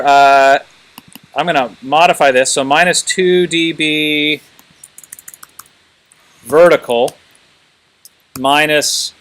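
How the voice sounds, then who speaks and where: A man's voice: mid-range voice, fairly bright tone, clear, resonant tone. A man teaching a class informally, thinking aloud and prodding students with questions.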